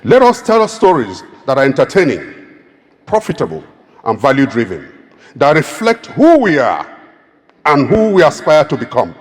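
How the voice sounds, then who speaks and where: A Nigerian man with a clear Igbo accent addresses an audience in an emphatic, oratorical style, building each point to a rousing peak. His pitch rises and falls in wide, animated sweeps. His voice carries the long reverb of a large hall. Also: normal speed. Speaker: keynote speaker. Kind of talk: public speech.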